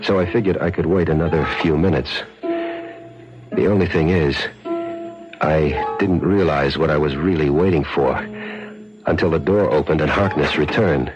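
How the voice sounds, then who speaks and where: A man's voice: rumbling low, normal speed, music in the background. An actor playing a scheming, cocky small-time swindler who tells his own story in a 1970s radio drama, with a smug, hardboiled delivery. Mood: smug, dreamy. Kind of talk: 1970s radio drama acting with first-person narration. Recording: muffled 1970s radio broadcast recording.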